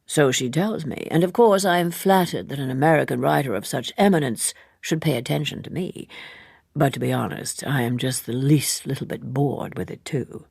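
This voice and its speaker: A woman voicing an urbane, faintly bored gentleman in an audiobook, with a languid, dry, fastidious manner. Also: a bass voice, creaky, breathy edge, dry recording, voice pushed down, full character voice. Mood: bored, candid. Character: urbane man, languid, faintly bored, dryly critical letter-like correspondent.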